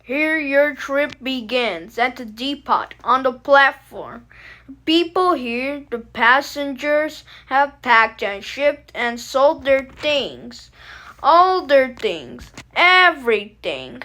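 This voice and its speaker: A woman reading a picture book aloud expressively, in deliberate, emphatic phrases with pauses between short lines. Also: sits high, animated, pushed and bright. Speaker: storybook reader.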